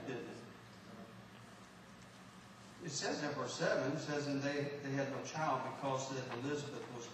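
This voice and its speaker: A Texan man preaches in a high voice, folksy and full of restarts, swinging between loud and soft. He is recorded from a distance in a church hall with heavy, lingering echo. A faint hiss sits underneath. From Texas, USA. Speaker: pastor. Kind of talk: folksy sermon. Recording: echoey hall from far off, faint noise.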